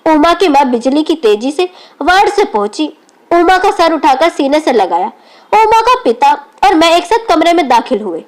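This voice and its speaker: A woman's voice: sits high, very animated, moderate pace. A woman reads a scripted, emotional moral story as its narrator.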